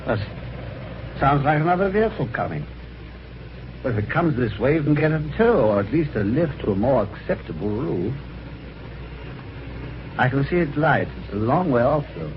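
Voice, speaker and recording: An actor playing a genial, chatty British gentleman telling his adventure in an old-time radio drama, with polite, jaunty, conversational phrasing. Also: medium pitch, sing-song, moderate pace, pauses often. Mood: helpful, exerted. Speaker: narrator and lead character. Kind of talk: old-time radio drama, first-person narration and dialogue. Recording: muffled, noisy vintage radio recording.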